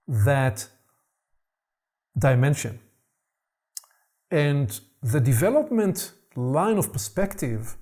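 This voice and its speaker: A man from Israel hosts a thoughtful conversation about personal growth. His voice is fairly high for a man but soft and mellow. He talks in a searching way and often repeats words while he works out his thought. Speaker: host. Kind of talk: reflective conversation on personal development.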